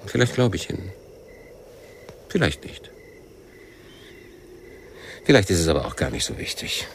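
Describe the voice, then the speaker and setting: A low-voiced, world-weary guerrilla captain. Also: male voice, big pitch swings, gravelly, slight background noise, full character voice. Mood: suspicious, pressing. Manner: measured interrogation with abrupt probing questions. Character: world-weary, shrewd Palestinian guerrilla captain, coolly probing. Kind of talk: radio crime drama acting.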